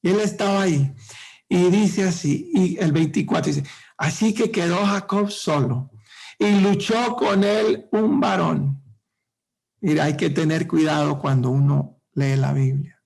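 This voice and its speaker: A man preaching a sermon to a congregation, with impassioned, drawn-out emphasis. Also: on the high side, creaky, a little mellow. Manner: speaks directly to the congregation.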